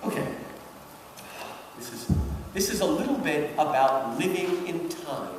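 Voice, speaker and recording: Echoey, animated, high-pitched man lecturing. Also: unhurried. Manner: emphatic, talkative, punctuates points with questions. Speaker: lecturer giving commentary on a literary work. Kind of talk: animated public lecture with quoted readings. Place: large hall at a public event. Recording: very echoey hall, far off, faint noise.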